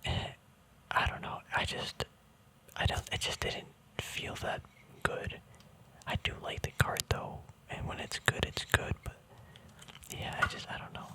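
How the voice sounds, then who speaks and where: A man's voice: measured pace, pushed and bright, low-quality recording. A man whispering in a casual ASMR style, musing to himself in hesitant, trailing thoughts as he shows something off. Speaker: ASMR video creator showing a trading card deck. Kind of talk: whispered ASMR, casual rambling show-and-tell.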